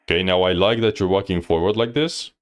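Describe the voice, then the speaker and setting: A man's voice: low voice, a little fast. A man coaching on a live stream, reviewing gameplay bluntly with lots of fillers. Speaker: game coach.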